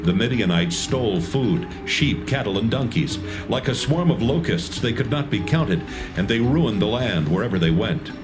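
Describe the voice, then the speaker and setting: A man with a lowish voice narrates scripture steadily and plainly. Music plays clearly beneath him. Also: lively intonation, normal speed, few pauses, poor audio.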